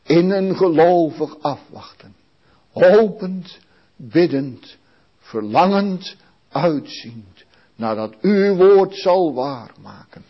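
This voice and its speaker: A man leading a long public prayer in a slow, solemn and pleading way. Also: fairly high pitch, muffled recording. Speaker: praying minister.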